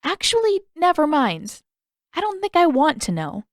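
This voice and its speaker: A woman's voice: high voice, wildly swooping pitch, moderate pace, dry recording, voice pushed up. Earnest teenage fantasy heroine, eager, frank and informal, played by a woman narrator, closely dry recording. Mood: flustered.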